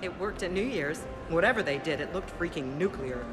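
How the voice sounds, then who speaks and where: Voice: mid-range voice, expressive, brisk, close to an everyday voice. An actor playing a slick, chatty lawyer in a video game, breezy and candid. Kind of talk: video game cutscene dialogue. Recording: clear music behind voice.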